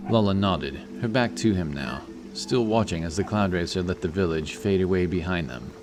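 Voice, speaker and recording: A man's voice: low-pitched, moderate pace, darkish tone. A man reading scripted fantasy narration as a storyteller, with music behind his voice.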